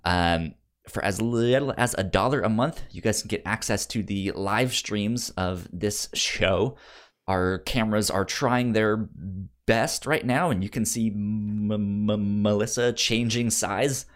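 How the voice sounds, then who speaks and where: A man's voice: fairly low pitch, measured pace. A man hosting a podcast in casual chat, upbeat and enthusiastic, sometimes stammering over his words. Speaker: podcast host.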